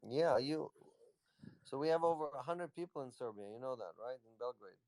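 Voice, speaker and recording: A man's voice: mid-range voice, animated, brisk, darkish tone, dry recording, low-quality recording. A man, a company executive, casually answering questions on a live audio chat in a loose, rambling way. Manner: rambling, loose, lots of "you know".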